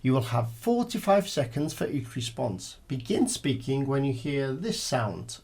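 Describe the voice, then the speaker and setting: A man's voice: mid-range voice, very animated, unhurried, very noisy. A man reading formal, scripted speaking-test instructions and questions as an examiner.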